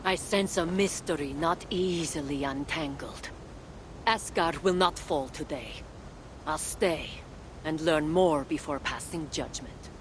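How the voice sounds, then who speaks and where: An actress playing a stern, wry goddess queen in a video game, speaking in a measured, dry and commanding way. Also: sits low, background noise. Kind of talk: dramatic video game acting.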